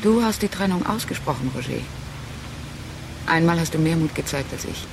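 A woman's voice: sits low, lively intonation, normal speed, creaky. An actress in a literary radio drama playing a cool, resolute intellectual woman parting from her lover in terse, matter-of-fact statements. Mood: resolute, reproachful. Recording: faint noise behind the voice.

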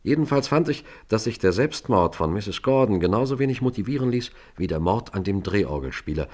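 An actor playing a businesslike Scotland Yard police sergeant in a German radio crime drama, speaking in a measured, formal way. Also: male voice, fairly low pitch, expressive, dark tone. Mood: measured, reflective.

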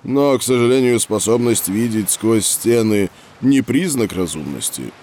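A man playing a patient scientist who explains experiments to children in a measured, didactic way in an educational audio drama. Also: on the low side, expressive, warm tone, slight background noise. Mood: explanatory.

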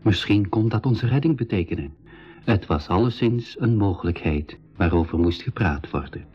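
An actor playing a travel companion who narrates an adventure radio play in a scripted storytelling style. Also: male voice, on the low side, expressive, moderate pace, slightly rough. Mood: measured storytelling. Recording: dull 1980s radio recording.

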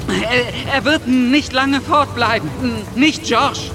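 Hesitant young revolutionary, halting, very high for a man, music behind. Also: heavily acted.

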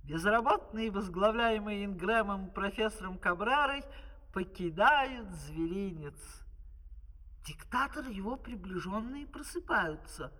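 A man's voice: high-pitched, lively intonation, unhurried, sharp tone, long reverb tail, rough recording. A man narrating a fairy-tale opera from a script on an old vinyl record.

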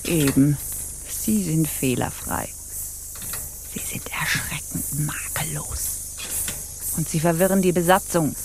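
A woman's voice: low voice, slow pace, creaky, long pauses, whispered, exaggerated voice. An actress playing a bitter, passionate female pirate captain in a radio drama, accusing and imperious. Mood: stern. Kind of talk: intense radio drama acting.

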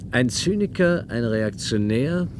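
A man's voice: mid-range voice, lively intonation, measured pace, noisy background, lightly acted. An actor playing a sceptical, probing man in a German radio play, conversational and sardonic.

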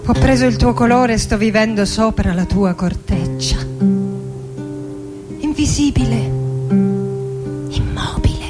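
An actress playing an anguished, lovesick poet in her forties in a theatrical radio monologue, intimate and dramatic, with long pauses. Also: a little high, close to an everyday voice. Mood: intimate, sorrowful longing. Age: 40s. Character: anguished, lovesick 46-year-old Argentine woman poet facing death. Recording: music behind the voice. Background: acoustic guitar music.

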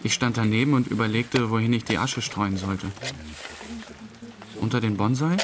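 A man's voice: on the low side, normal speed, lightly acted. An actor playing a subdued, hesitant man who recalls a friend's burial in plain, short sentences, as narrator of a radio play. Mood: reflective, subdued. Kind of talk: interview-like dramatic monologue, past-tense recollection. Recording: faint rain and ambience noise.

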